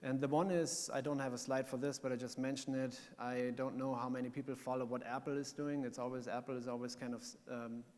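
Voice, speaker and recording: A German-accented man giving a panel talk at a conference, earnest and explanatory, pausing on ums. His mid-pitched voice carries a long hall reverb. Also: little pitch movement, darkish tone. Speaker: panel speaker.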